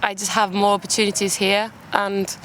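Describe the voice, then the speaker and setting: A woman's voice: mid-range voice, level tone, moderate pace, a bit bright. A young woman from Spain, a native Spanish speaker with a British accent, chatting casually as an interview guest. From Málaga, Spain. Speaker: podcast guest. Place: outdoors in a city park. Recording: outdoor urban background noise. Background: faint park and traffic ambience.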